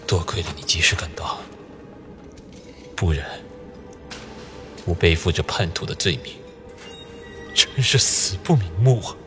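Deep, slow, treacherous priest. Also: male voice, animated, sharp tone, soft background music, full character voice. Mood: falsely aggrieved. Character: treacherous red-robed priest, feigning innocence, sinister adult man. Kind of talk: Chinese audio drama acting.

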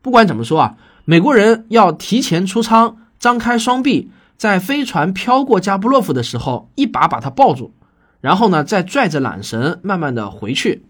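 A man's voice: high-pitched, very animated, moderate pace, fairly bright tone. A man hosting a podcast, explaining things to listeners in a conversational, emphatic monologue. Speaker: podcast host and science fiction writer.

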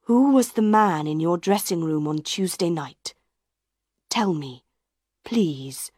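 A woman voicing an anxious young man who questions quietly and slowly. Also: fairly low pitch, animated, breathy edge, dry recording, studio quality, heavily acted. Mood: earnest. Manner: quiet, gentle, slow. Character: anxious young male suitor.

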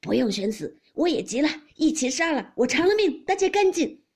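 Sulky, hot-tempered young husband for a classic storybook, played by a woman: husky, blustering, animated. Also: fairly high pitch, a little fast, whispered, heavily acted. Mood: blustering rage. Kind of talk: dramatised audiobook of classic novel.

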